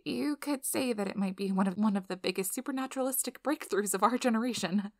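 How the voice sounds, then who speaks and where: A very dry, intimate recording of a woman acting out a flustered young female researcher in an ASMR role-play. She speaks at a medium pitch, rambling and stumbling apologetically, and slips into breathy, whispered asides. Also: fast talker, dry recording, close to an everyday voice. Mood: earnest, absorbed. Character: nervous, rambling young woman PhD researcher of magical creatures.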